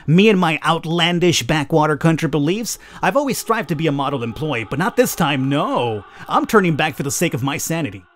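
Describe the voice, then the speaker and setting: A man hosting a gaming video, reading a horror visual novel aloud as expressive, dramatic first-person narration. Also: fairly high pitch, brisk, hardly any pauses. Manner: expressive, acts out the heroine's thoughts. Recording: clear background noise, game ambience.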